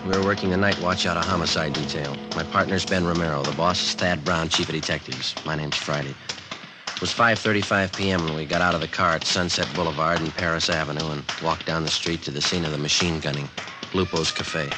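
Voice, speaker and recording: A man's voice: extremely low pitch, steady tone, fairly quick, over loud music. A man playing a terse, no-nonsense police detective in an old radio crime drama, clipped and matter-of-fact. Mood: flat, factual. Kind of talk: 1950s radio crime drama narration and dialogue. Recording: vintage radio drama recording.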